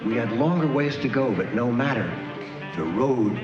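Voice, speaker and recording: A man's voice: mid-range voice, animated, normal speed, highs cut off. A man admiringly quoting a passage from a book. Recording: distant echoey hall, loud music behind.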